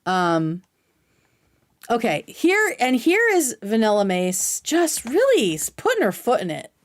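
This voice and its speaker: A woman's voice: fairly high pitch, huge pitch swings, a little slow. A woman hosting a livestream, giving informal, opinionated commentary and explaining her points at length. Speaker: livestream host. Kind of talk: casual commentary reacting to clips.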